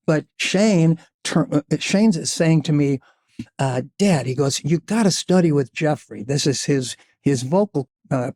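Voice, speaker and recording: A man's voice: fairly high pitch, darkish tone. A man in his seventies, a guest singer on a podcast, telling stories in a rambling, casual way and searching for his words. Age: 70s. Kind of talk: casual storytelling chat.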